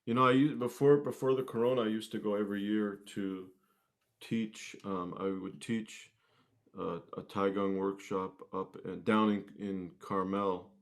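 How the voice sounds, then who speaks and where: A man with a fairly low voice speaks as a guest musician. His delivery is casual and conversational, like an informal chat. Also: steady tone, unhurried.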